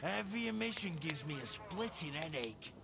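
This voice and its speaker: Gravelly, grumbling weary stalker. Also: male voice, fairly high pitch, very animated, normal speed. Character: weary, achy, complaining stalker at a campfire. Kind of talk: video game dialogue.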